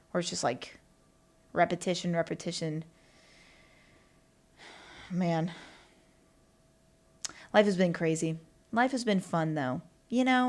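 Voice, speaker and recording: A young woman hosting her own podcast and rambling casually on her own, with lots of fillers and asides. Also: lowish voice. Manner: rambling and chatty, with lots of fillers and self-talk. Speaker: podcast host. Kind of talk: casual solo podcast monologue.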